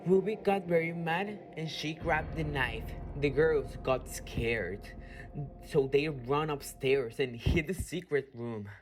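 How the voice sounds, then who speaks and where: A woman reading scripted radio drama narration haltingly, correcting small slips as she goes. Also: deep voice, slight background noise, low-quality recording. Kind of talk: scripted narration, read aloud. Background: music.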